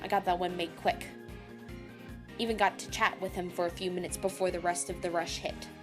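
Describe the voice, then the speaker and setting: A woman playing a brash, hot-tempered chef in an audio drama, gruff and sarcastic, cursing mildly in food words. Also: on the low side, little pitch movement, fairly quick, natural voice. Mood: irritated. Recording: music behind the voice.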